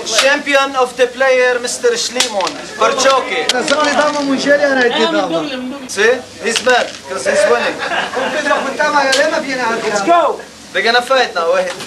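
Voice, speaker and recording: Man, high pitch, unhurried, casual street chat, noisy crowd around. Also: far from the mic. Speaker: community member introducing neighbors.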